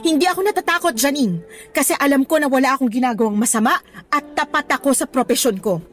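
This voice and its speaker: High-voiced, indignant Filipino schoolteacher. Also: female voice, expressive, fast talker, faint music behind. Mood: indignant, firm. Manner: earnest, scolding, mixes English into Tagalog. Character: principled, indignant young woman schoolteacher scolding a student. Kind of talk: Tagalog radio drama acting.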